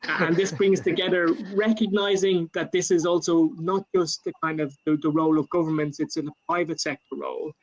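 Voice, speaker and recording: A man with an Irish accent answering a panel question for his government, measured, with many fillers and restarts, over a video call. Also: a little high, fairly even intonation, low-quality recording. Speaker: panel speaker for a national government.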